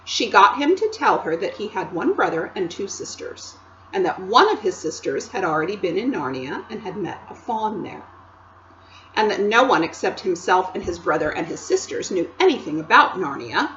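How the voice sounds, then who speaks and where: A woman teacher reading a chapter book aloud to children, with expressive storytelling and chatty asides to her listeners. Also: medium pitch, normal speed, muffled recording, a little hiss or hum.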